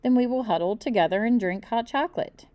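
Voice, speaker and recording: A woman voicing a patient, gently encouraging father in a children's storybook. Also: fairly high pitch, fairly quick, muffled recording, pitched above the performer's own voice, lightly acted. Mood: reassuring. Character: patient, reassuring father of a young boy. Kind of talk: children's storybook read-aloud.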